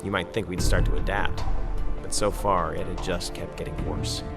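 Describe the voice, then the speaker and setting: A sombre war correspondent narrating a game cutscene in a lowish voice. He is grave and measured, with loud music swelling beneath him. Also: steady tone. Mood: sombre, reflective. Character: sombre male war correspondent recounting a grim war.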